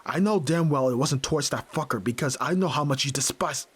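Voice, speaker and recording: A man's voice: medium pitch, a little fast, fairly bright tone, breathy edge. A young man playing a brusque, tormented nerd who is a reluctant yakuza heir, in a dramatic audio roleplay, speaking huskily and curtly. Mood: bitter, agitated. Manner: brooding, curt, pushing the listener away.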